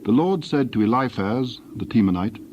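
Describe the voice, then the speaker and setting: A man narrating a dramatized scripture reading in a measured, solemn storytelling way. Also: mid-range voice, animated. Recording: dull, faint background ambience noise.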